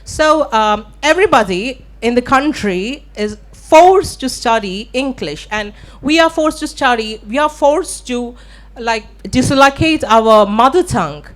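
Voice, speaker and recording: A woman from India with an Indian accent, an audience member asking a long, earnest, halting question into a microphone at a live event. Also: fairly high pitch, expressive. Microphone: shared handheld event microphone.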